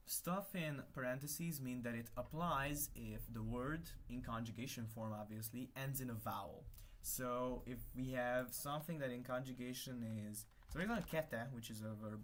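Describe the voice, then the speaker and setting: A man's voice: medium pitch, slight background noise. A young man with a Hungarian accent, a native Hungarian speaker, casually explaining his constructed language and thinking aloud with hesitant self-corrections. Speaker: conlang creator. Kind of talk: casual screen-share explanation.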